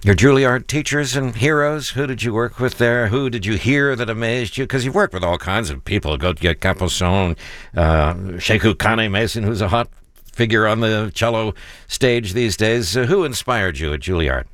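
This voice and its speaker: A man's voice: fairly low pitch, expressive, moderate pace, a bit bright, no room sound, studio quality. A man hosting a radio interview, asking questions in an enthusiastic, effusive way.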